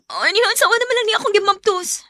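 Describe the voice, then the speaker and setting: Earnest high-voiced young Visayan woman. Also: expressive, brisk, sharp tone, dry recording, clean studio sound, exaggerated voice. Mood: hurt, upset. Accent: Visayan Filipino. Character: earnest young single mother, unlucky in love. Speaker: lead actress. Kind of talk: Cebuano radio drama acting.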